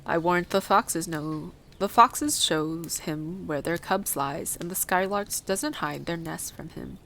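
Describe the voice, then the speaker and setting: Lady doing a surly old gardener man from a storybook, blunt dialect, creaky voice, bit of noise behind. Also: lowish voice, measured pace, fairly bright tone. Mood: grudgingly warm. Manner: blunt, gruff dialect speech.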